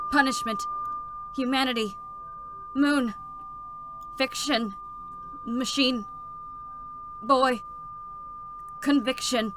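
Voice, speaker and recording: A woman's voice: high voice, full character voice, poor audio. A woman playing a cold, logical robotic support unit in a game story reading, with a clipped, formal, procedural delivery free of emotion. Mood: stern, forceful. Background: faint music.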